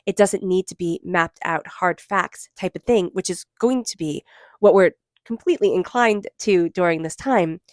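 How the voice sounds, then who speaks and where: A woman with a stuffy cold giving a spiritual tarot reading, gentle and meandering, slowing into soft chanted invocations. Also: on the low side, dry recording, studio quality. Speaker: tarot reader.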